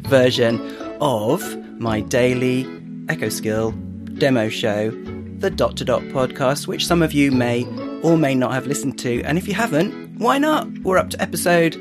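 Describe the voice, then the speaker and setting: Chatty laughing podcast host. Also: male voice, medium pitch, animated, moderate pace, music in the background. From northeast England. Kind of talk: casual chat.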